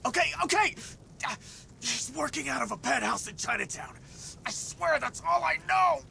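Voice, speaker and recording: Casting: cornered game street thug, very high and heavily gravelly, strained, defiant yet scared. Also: male voice, expressive, moderate pace, bright, forceful tone, slight background noise, exaggerated voice. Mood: terrified. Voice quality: strained, raspy. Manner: panicky, blurting. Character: defiant but frightened male street thug.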